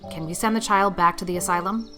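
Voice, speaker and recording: A woman playing a stern, practical older farm spinster, brisk and plain-spoken, in a dramatized storybook. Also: lowish voice, steady tone, over music, natural voice. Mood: resigned, decisive. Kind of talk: dramatized audiobook acting.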